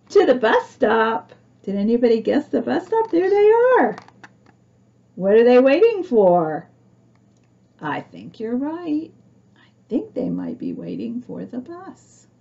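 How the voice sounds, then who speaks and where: Slow, lively woman reading a picture book. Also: fairly high pitch, vocal fry, dull audio. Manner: expressive, asks young listeners questions. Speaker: storybook reader for young children. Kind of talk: read-aloud picture book with chatty asides.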